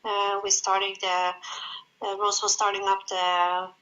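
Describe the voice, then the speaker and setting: A woman from Sweden, speaking English as a guest in a casual interview and pausing often on fillers. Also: medium pitch, little pitch movement, pushed and bright, low-quality recording. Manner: hesitant, many fillers, encouraging. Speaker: guest, jiu-jitsu event organizer.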